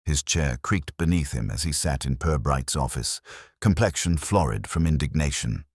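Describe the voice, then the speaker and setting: Very deep, husky male story narrator. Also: expressive, normal speed, no room sound, clean studio sound. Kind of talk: scripted mystery story narration.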